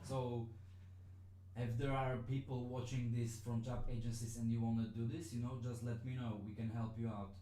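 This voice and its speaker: A man's voice: mid-range voice, flat delivery, moderate pace, dark tone, echoey room, slight background noise, poor audio. A man whose first language is Polish, teaching a live-streamed lesson in a hesitant way, with many fillers and restarts. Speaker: online business trainer.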